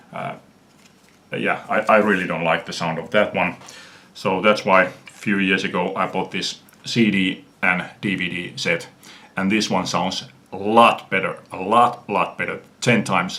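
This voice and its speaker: A man from Finland with a Finnish accent, talking earnestly about his music collection in an unhurried video response, pausing often. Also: low-pitched, sharp tone. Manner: earnest, unhurried, frequent "uh" pauses. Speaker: music fan vlogger.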